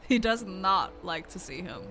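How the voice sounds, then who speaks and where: A woman's voice: on the low side, lively intonation, a little slow, a bit bright, slight background noise, low-quality recording. A woman streamer giving casual, slangy reaction commentary, dropping short informal interjections over a game. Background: game music.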